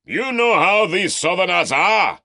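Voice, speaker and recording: A man voicing an older uncle who shouts mockingly to a crowd, pitched well above the narrator's own voice. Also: very slow, creaky, no echo, clean studio sound, exaggerated voice. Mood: scornful. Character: older man, scheming, loud and scornful.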